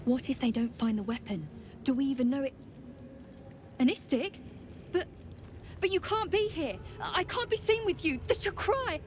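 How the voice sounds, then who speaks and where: A woman voicing an anxious, fearful young alien soldier with halting speech in a fantasy game. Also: high voice, a little hiss or hum, lightly acted. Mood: panicked.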